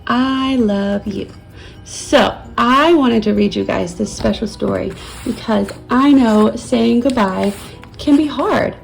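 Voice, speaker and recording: A woman teacher reading a storybook aloud to young children in a gentle, soothing manner. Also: on the high side, measured pace, vocal fry, faint music behind, rough recording.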